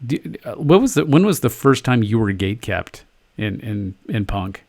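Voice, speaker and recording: Gravelly low-voiced podcast man. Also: big pitch swings, normal speed, a little mellow. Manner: profane, joking, trailing off into fillers. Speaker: podcast co-host. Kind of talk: casual chat.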